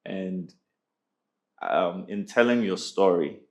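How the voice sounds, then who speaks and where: A man hosting a sit-down interview asks his guest one question after another at a measured pace. His voice is fairly low. He often repeats a word or two before settling on his phrasing. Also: a little mellow. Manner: measured, repeats words while finding phrasing. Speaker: interview host.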